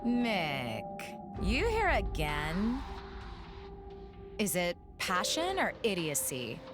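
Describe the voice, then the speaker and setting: A hot-tempered game villainess. She is scornful and abrasive, drawing words out slowly with big swings in pitch over background music. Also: wildly swooping pitch, exaggerated voice. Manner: abrasive, mocking. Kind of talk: dramatic video game cutscene acting. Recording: music behind. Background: game soundtrack music.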